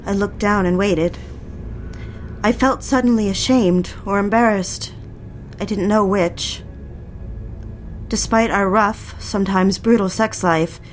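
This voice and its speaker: A woman's voice: mid-range voice, measured pace, pauses often, a little hiss or hum, lightly acted. Woman acting an anxious, hesitant young wife. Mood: brooding, confessional. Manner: hesitant, pleading, repeats herself. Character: anxious, submissive young wife recalling a troubled marriage. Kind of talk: dramatic audio fiction, dialogue and confessional narration. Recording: music behind the voice.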